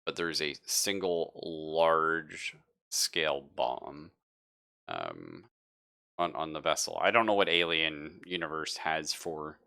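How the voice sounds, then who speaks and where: This is a man with a very low but bright, forward voice. He runs a tabletop roleplaying game as game master. He improvises his narration casually, often halting to think aloud. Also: animated, vocal fry.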